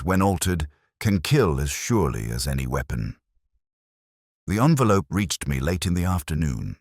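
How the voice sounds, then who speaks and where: A man narrating a first-person detective story in a measured, solemn, literary manner. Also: rumbling low, no room sound, clean studio sound.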